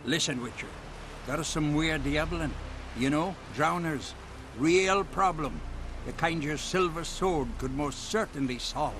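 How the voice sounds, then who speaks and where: A man's voice: fairly high pitch, measured pace, over music, close to an everyday voice, poor audio. A man voicing a grim, plain-spoken seafaring villager in a fantasy video game, grave and folksy in manner. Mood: urgent.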